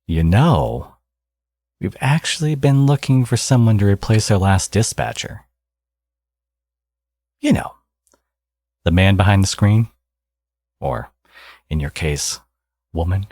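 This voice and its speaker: A man playing a smug, teasing villain with a secretly caring side in an ASMR audio roleplay, speaking casually and sardonically with a husky voice. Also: lowish voice, lively intonation, slow, long pauses, darkish tone, no room sound, exaggerated voice. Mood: smug, coaxing.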